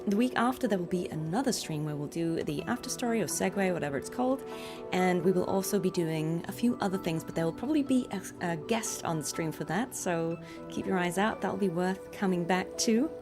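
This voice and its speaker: Low-pitched woman chatting on a livestream. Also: expressive, a little fast, over music. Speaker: streamer and voice actress. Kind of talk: casual livestream chat.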